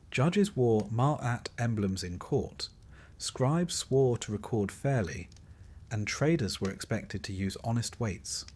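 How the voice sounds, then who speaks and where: A man with a somewhat low voice narrates a long-form history sleep story. He reads from a script as a storyteller would, with measured delivery and careful phrasing.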